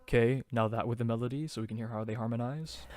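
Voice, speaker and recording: A man's voice: medium pitch, fairly even intonation, quick pace, darkish tone, no echo, studio quality. A man teaching a song's vocal harmonies in a loose, chatty way, with many fillers and restarts. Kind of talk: casual harmony tutorial.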